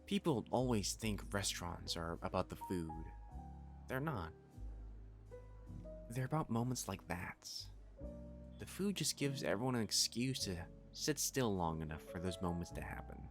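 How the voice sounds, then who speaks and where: A man as a low-voiced, playfully doting catboy waiter. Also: expressive, normal speed, music in the background. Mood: tender, pleased.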